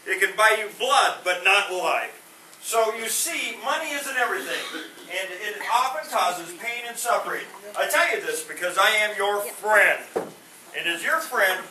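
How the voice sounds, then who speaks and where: A man's voice: high-pitched, bright, forceful tone. A man doing stand-up one-liners and corny puns without a microphone, his punchlines trailing off sheepishly. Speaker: stand-up comedian. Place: veterans home hall. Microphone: no microphone, far from the recorder. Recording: roomy hall from a distance, noisy.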